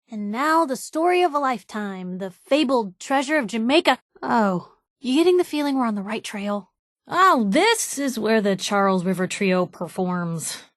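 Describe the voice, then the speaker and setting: A woman's voice: fairly high pitch, no echo, clean studio sound, close to an everyday voice. An actress playing a sardonic, plucky young woman reporter in a video game, with wry, conversational quips. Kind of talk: video game companion voice acting.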